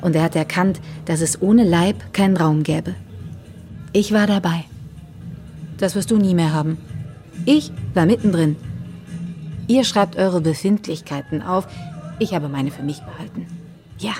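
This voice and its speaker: A woman's voice: lowish voice, a little slow, pauses often, warm tone, soft background music, close to an everyday voice. Actress as a haughty, jaded bohemian woman. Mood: bitter. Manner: disdainful, sardonic. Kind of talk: naturalistic radio play acting.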